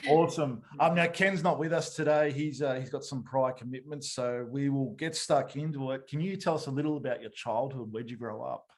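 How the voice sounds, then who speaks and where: A man's voice: medium pitch, a little fast, few pauses. A man with an Australian accent hosting a podcast and asking questions in a casual interview style.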